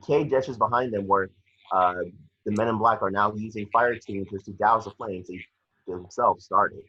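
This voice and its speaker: A man's voice: on the low side, fairly even intonation, moderate pace, pushed and bright, slightly rough, dull audio. A man narrating action descriptions at a script read-through, matter-of-fact and sometimes stumbling over words.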